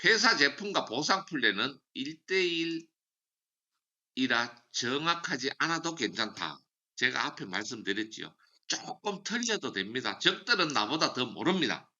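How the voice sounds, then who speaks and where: A man's voice: medium pitch, big pitch swings, moderate pace, bright, forceful tone, dull audio. Emphatic man drilling sales slogans. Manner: emphatic, drills slogans and repeats key phrases. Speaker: seminar speaker for a sales business. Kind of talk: motivational sales training lecture.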